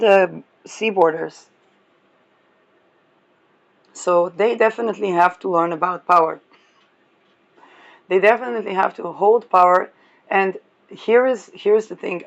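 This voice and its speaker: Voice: mid-range voice, gravelly, lo-fi sound. A person giving a casual, rambling astrology talk, chuckling often between remarks. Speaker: astrology reader.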